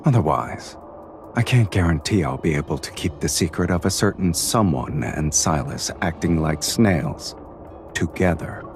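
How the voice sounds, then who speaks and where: A man playing a suave, teasing crime boss in a romance game, speaking in an unhurried, amused way. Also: low voice, animated, faint music behind.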